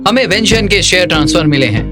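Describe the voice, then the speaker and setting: A businesslike, assertive company associate in a dubbed drama. His voice is high for a man, and music plays clearly behind him. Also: animated, fast. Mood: formal, assertive. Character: matter-of-fact corporate ally laying down shareholder rights.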